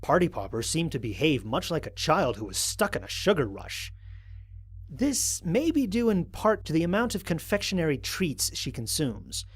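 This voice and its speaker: A man playing an observant, analytical space explorer, narrating formal mission logs in a measured, precise way for an audio drama. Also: a little high, very animated, slightly rough. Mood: intrigued, measured. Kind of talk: scripted first-person audio drama narration.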